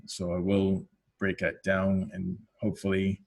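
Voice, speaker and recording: A man's voice: deep voice, completely flat delivery, measured pace, gravelly, darkish tone. A man with a Canadian accent presenting a product webinar as a technical lead, explaining step by step with frequent fillers and self-corrections.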